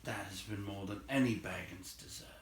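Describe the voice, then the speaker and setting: A man's voice: fairly low pitch, normal speed, roomy sound, slight background noise, lightly acted. A man voicing a small, kindly hobbit from a storybook, humble and earnest in an exclamatory way. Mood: sorrowful.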